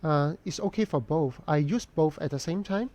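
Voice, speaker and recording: Mellow-voiced man. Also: fairly high pitch. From Hong Kong. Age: 40s. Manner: unhurried, thoughtful, pauses often with "um". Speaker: artist live-streaming a drawing session. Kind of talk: casual live-stream chat while drawing, answering viewer questions.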